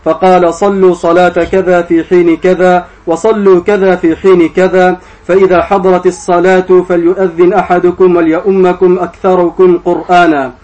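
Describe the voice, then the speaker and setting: Higher-pitched man reciting, very even tone. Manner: measured, drawn-out recitation. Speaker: student reciter of hadith texts. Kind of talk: formal slow reading aloud of classical religious text. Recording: dull, muffled sound.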